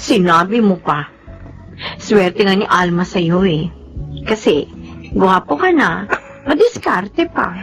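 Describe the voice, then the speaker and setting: A woman's voice: medium pitch, animated, slow pace, pauses often, fairly bright tone, soft background music. A woman playing a materialistic, discontented young wife in a melodramatic Filipino radio drama, whiny and coquettish. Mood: coy, envious.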